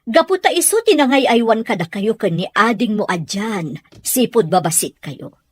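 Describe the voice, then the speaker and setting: Woman as firm caring mother, admonishing, lively expressive pitch, radio-play style, close dry sound. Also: moderate pace, breathy edge, no room sound. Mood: stern, earnest. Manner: earnest, admonishing. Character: firm, caring mother correcting her child. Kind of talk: Ilocano audio drama acting.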